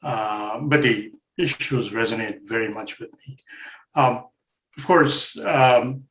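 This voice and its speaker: A man from India, an editor interviewing a guest, asking polite questions with hesitant pauses. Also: mid-range voice, a bit bright, echoey room, poor audio.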